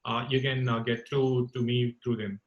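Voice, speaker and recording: A man hosting an online call-in talk session, speaking in a courteous, formal and halting way. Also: medium pitch, flat delivery, lo-fi sound. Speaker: host of a campus radio talk session. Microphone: online group call.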